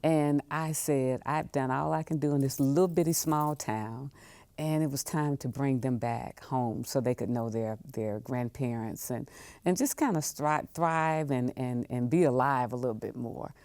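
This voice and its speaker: Very deep, mellow older Southern woman. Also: a little slow, dry recording. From Jackson, Mississippi, United States. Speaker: museum director emeritus, interview guest. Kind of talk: reflective interview conversation.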